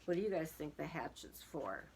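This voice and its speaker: A woman's voice: sits low, sing-song, moderate pace, a little mellow, a little hiss or hum. A woman reading a picture book aloud to young children, dramatic in the story and casual in asides that put questions to the listeners.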